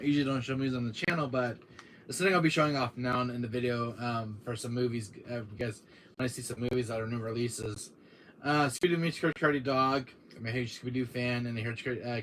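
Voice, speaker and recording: A man with a deep voice hosting a casual gaming live stream, rambling with many fillers while talking to his chat, tired from a cold. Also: fairly bright tone, slight background noise, poor audio. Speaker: gaming channel host.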